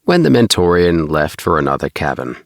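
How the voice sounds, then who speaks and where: A man reading a bedtime story as scripted narration, in an unhurried manner with the occasional stumble. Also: extremely low pitch, big pitch swings, dry recording, studio quality. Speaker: audiobook reader.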